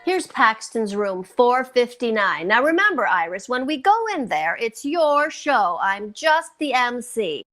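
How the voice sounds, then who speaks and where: A woman playing an excitable, scheming housewife in a 1940s-style radio sitcom, animated and pleading. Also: fairly high pitch, a little slow, few pauses, faint music behind. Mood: urgent, pleading. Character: excitable, scheming housewife, eager to explain herself.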